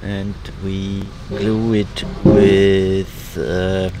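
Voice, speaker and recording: A man's voice: sits low, level tone, extremely slow, over loud music, rough recording. An older man with a German accent casually explaining boat work, searching for words in English. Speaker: sailing vlogger.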